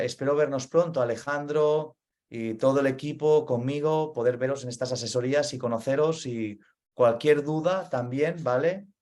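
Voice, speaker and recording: A man's voice: mid-range voice, a little mellow. A man from Spain with a Spain Spanish accent, explaining data center operations in a webinar and repeating his key points. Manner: earnest, repeats key points, frequent "vale?" check-ins.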